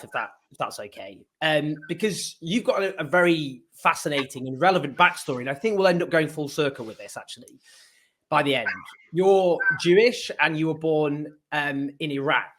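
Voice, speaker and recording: A man's voice: fairly high pitch, a bit bright, a little hiss or hum. A man hosting an interview, introducing his guest eagerly with emphatic rises and some hesitations. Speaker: host.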